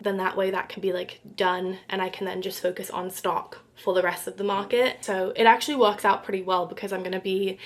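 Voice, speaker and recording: A young woman who runs a small art business, talking casually in a vlog with lots of fillers, her voice a little croaky. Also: mid-range voice. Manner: chatty, filler-heavy, upbeat. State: slightly croaky, losing her voice.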